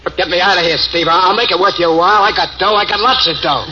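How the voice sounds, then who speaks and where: An actor playing a tough gangster who is trapped and frantic. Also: male voice, high voice, fast, loud music behind the voice, natural voice. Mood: pleading. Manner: tough and threatening, then pleading. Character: panicking trapped gangster man, tough turned desperate. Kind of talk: 1940s radio acting. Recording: dull old radio recording with hiss.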